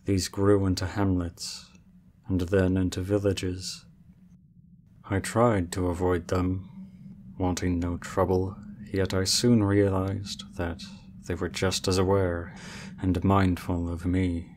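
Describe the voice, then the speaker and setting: A man voicing an ancient, aloof male dragon in human form, speaking in a formal, measured and reserved way for an ASMR roleplay. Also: deep voice, flat delivery, a little mellow, slight background noise. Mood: calm, aloof.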